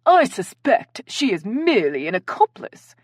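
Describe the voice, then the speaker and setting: A woman's voice: medium pitch, big pitch swings, moderate pace, no room sound, clean studio sound, voice pushed up. A woman narrator voicing a theatrical, commanding woman who leads an improv game in a story. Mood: grave, theatrical. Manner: theatrical, directing.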